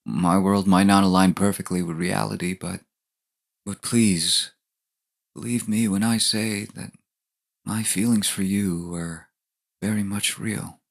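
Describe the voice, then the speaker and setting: A low, gravelly departed lover. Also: male voice, steady tone, unhurried. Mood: earnest, pleading. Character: dead male partner writing tender letters from beyond. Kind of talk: audio drama acting.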